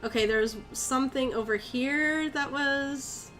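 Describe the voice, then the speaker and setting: A woman's voice: high voice, takes it slowly, fairly bright tone, faint music behind. A young woman from Connecticut streaming a horror game, chatting with viewers, easily spooked and swearing. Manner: jumpy, easily spooked, swears and chats with chat. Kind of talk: live gameplay commentary.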